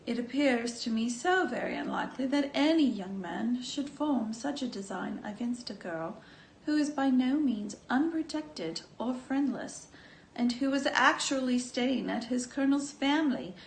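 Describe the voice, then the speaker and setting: A woman's voice: high voice, expressive, unhurried, gravelly. A woman reading a classic novel aloud, acting out its dialogue as an amateur narrator. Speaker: volunteer audiobook reader. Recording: echoey room with faint background noise.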